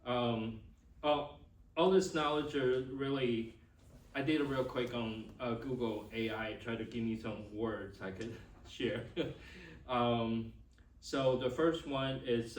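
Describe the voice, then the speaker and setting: A middle-aged man with a Taiwanese accent and Chinese as his first language, giving an informal, halting talk as a class instructor. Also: mid-range voice, steady tone. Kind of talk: informal teaching and personal introduction. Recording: echoey room, distant mic, faint noise.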